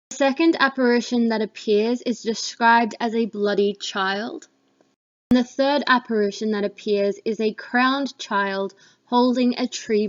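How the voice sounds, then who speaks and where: A woman teacher narrating a lesson summary in a measured, explanatory way. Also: a little high, highs cut off.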